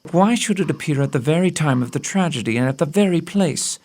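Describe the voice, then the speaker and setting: An actor playing a brisk, confident gentleman detective with courteous, probing, deliberate phrasing in an audio theatre production. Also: fairly high pitch, expressive.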